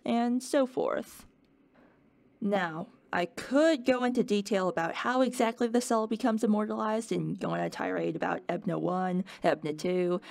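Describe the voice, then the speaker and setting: Creaky-voiced, playful woman professor acted out. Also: mid-range voice, darkish tone, dry recording. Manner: playful, wry, conversational, explains plainly. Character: playful, wry professor giving an unasked-for office-hours lecture. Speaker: lecturer. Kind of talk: scripted educational lecture in character.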